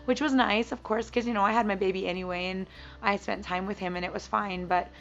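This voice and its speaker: A woman in her thirties vlogging casually to camera, her voice gravelly and froggy from strain, chatty and sappy and quick to laugh. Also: medium pitch, steady tone, a bit bright, lo-fi sound. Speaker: weight-loss vlogger.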